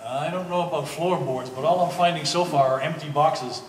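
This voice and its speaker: A man's voice: a little high, brisk, close to an everyday voice. A man playing an easygoing middle-aged former hippie with a hesitant, amiable delivery in a live stage play. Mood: puzzled searching. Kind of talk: live one-act stage acting. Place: art gallery stage reading. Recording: echoey hall, distant mic, faint noise.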